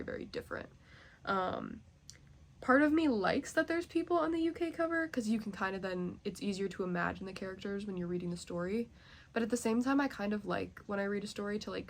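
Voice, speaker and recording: A young woman chatting casually as a book vlogger, nasally congested and rambling with many fillers. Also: medium pitch. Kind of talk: casual chat.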